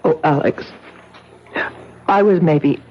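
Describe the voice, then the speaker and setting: Frightened, husky farm wife. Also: female voice, low voice. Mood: shaken, recounting. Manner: breathy, halting, emphatic. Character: frightened, insistent farmer's wife. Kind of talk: old radio drama acting. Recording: dull, muffled old radio recording.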